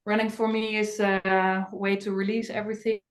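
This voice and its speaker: A middle-aged woman with a clear Dutch accent talks casually through a webinar as a family doctor. She is chatty and keeps filling pauses with little sounds. Her voice bounces around an echoey room. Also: medium pitch, little pitch movement. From Netherlands. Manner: chatty, with frequent fillers and non-native phrasing.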